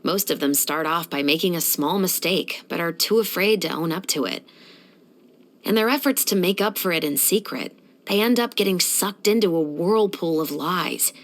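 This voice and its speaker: Actress as a low-voiced, composed female patrol captain. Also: normal speed, close to an everyday voice. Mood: composed, firm. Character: stern, dutiful young female patrol captain, principled and brisk. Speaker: video game character voice actor. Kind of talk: scripted video game character voice lines.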